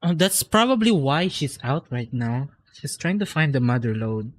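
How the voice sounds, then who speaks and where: A woman's voice: a bass voice, very animated, moderate pace, a little hiss or hum. A woman with a Filipino accent chatting casually and bluntly as she livestreams a game, reacting freely and switching between two languages. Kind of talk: casual chat while playing a game. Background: faint game music.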